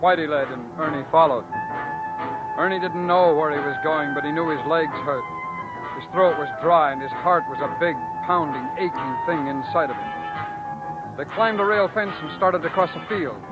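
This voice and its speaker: An actor narrating a crime story in dramatic old-time radio style. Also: male voice, fairly high pitch, normal speed, highs cut off, lightly acted. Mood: grave, suspenseful. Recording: old radio drama over loud music.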